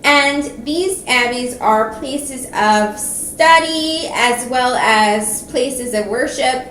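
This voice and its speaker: A woman's voice: a little high, fairly bright tone. A woman giving a casual, chatty and enthusiastic book review, talking as if to viewers of her own video. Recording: roomy echo with background noise.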